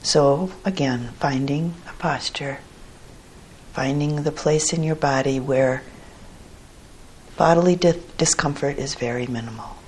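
A woman teaching a guided meditation in a gentle, measured manner with pauses between short phrases and a low, husky voice. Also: a little hiss or hum.

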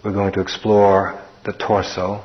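A man teaching a guided meditation in an unhurried manner, leaving long pauses between short phrases. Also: low voice, completely flat delivery. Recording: dull, muffled recording.

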